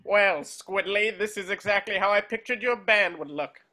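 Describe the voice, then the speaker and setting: Man as sneering cartoon rival, smug, snobbish, haughty, high-pitched, sharp bright tone. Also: normal speed. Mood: mocking. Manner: mocking, haughty. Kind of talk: cartoon table read.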